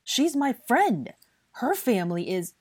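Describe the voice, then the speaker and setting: A woman's voice: fairly high pitch, big pitch swings, takes it slowly, a little mellow, breathy edge, dry recording, studio quality, pitched above the performer's own voice, exaggerated voice. A woman voicing an indignant, demanding young man with a defiant temper in an audiobook story. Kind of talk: audiobook character dialogue.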